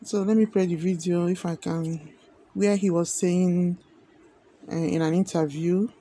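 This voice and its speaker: A man's voice: sits high, fairly even intonation, unhurried, warm tone. A man with a Nigerian accent giving earnest opinionated commentary, repeating himself with many fillers. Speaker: video commentator.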